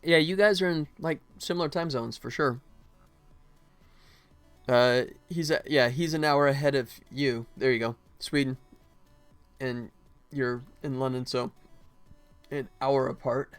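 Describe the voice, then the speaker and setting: A man with a mid-pitched voice talks casually on a livestream as he works. He is chatty and rambling, often thinking out loud, with music and some typing behind him. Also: measured pace, long pauses, smooth. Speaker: livestream host and solo indie game developer. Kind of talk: casual livestream chat while working.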